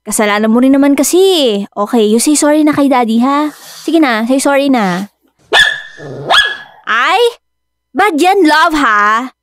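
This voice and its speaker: A woman acting in a radio drama as a doting, playful young dog owner, cooing and expressive. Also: sits high, measured pace, long pauses. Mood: coaxing, cooing.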